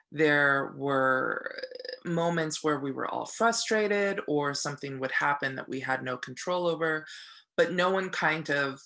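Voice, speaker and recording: A woman's voice: sits low, fairly bright tone. A woman who works as an actor, speaking casually and reflectively in an interview.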